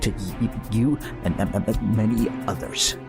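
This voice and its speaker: A man playing a nervous, guilt-ridden scientist in a comic-book audio drama, halting and stammering as he talks. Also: on the low side, vocal fry. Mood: anguished, guarded. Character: nervous, guilt-ridden male scientist, secretly from another world. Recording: loud music behind the voice. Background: loud music.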